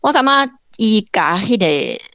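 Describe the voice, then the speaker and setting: A woman's voice: medium pitch, very animated, measured pace, fairly bright tone, no room sound, clean studio sound. A woman with a Taiwanese accent giving conversational literary commentary in an explanatory way, like a guest academic.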